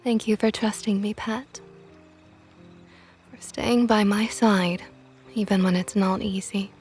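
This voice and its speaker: A woman's voice: medium pitch, steady tone, soft background music, close to an everyday voice. A woman playing a whispering vampire knight. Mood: probing intimate. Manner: slow, soft, often whispered, teasing. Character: possessive flirtatious female vampire knight, protective. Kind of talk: ASMR roleplay.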